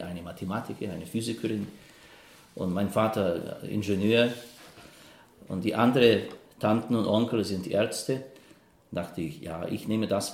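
A man in his fifties from Ukraine, a priest, speaking German with a Ukrainian accent in halting interview answers with frequent fillers. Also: on the low side, a little mellow, slight background noise. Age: 50s. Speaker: Salesian priest. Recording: roomy echo.

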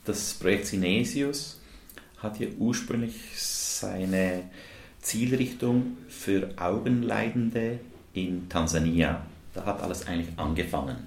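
Man, lowish voice, native english speaker, hesitant with fillers, echoey room. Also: slow pace. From India. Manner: hesitant, many filler sounds. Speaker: guest, dental technician, charity volunteer. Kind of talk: interview answers. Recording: roomy echo.

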